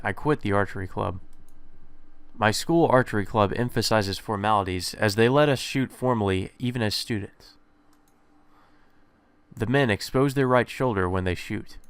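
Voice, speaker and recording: A man hosts a let's play, reading story narration aloud and dropping in casual remarks. His voice is clean and mid-pitched, picked up close and dry. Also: vocal fry, fairly bright tone, clear, resonant tone, dry recording.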